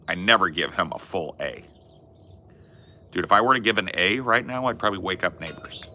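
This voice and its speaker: A man co-hosting a podcast, telling stories in a rambling, laid-back way with a loud, resonant voice. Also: sits low, lively intonation, fairly quick. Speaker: podcast co-host. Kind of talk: casual chat.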